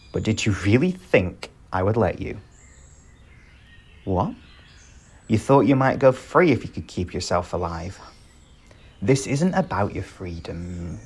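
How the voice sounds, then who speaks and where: A man's voice: lowish voice, husky, heavily acted. A man playing a sarcastic, teasing rogue bandit protector in a flirtatious ASMR roleplay, exasperated but fond. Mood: low, sincere.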